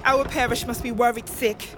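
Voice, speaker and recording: Tactful clergy wife female actor, worried but mannerly, higher register, fantasy radio play. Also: fairly even intonation, normal speed, over music, lightly acted. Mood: worried, diplomatic. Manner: courteous, tactful. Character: polite, worried female reverend, wife and mother. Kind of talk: fantasy audio drama acting.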